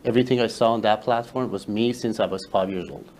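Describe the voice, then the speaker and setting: A man whose first language is Farsi, a guest telling his story in a casual interview, halting with many fillers and restarts. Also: fairly low pitch, fairly even intonation, a little mellow, a little hiss or hum. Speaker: interview guest, social media creator.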